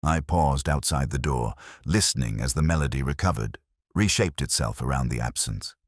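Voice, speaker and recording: A middle-aged man reading a first-person detective story in a measured, brooding way, as scripted audiobook narration. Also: very deep voice, animated, dry recording, studio quality.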